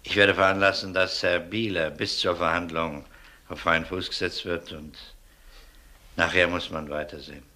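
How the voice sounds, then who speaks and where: Middle-aged detective, deep, forceful, methodical, probing, polite, crime radio play. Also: male voice, flat delivery. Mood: sober, firm. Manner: measured, probing, outwardly courteous. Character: shrewd, methodical male police detective.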